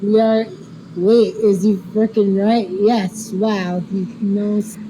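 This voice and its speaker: A woman's voice: steady tone, takes it slowly. A woman in casual gaming voice chat, bantering in a teasing, mildly sweary way. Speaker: player in a group bus-driving game. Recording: clearly noisy voice chat, vehicle rumble.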